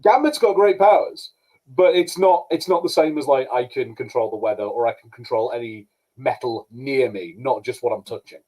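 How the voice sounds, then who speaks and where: A man's voice: medium pitch, moderate pace, dark tone, slightly rough, poor audio. A man co-hosting a podcast, discussing things casually as a fan. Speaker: podcast co-host.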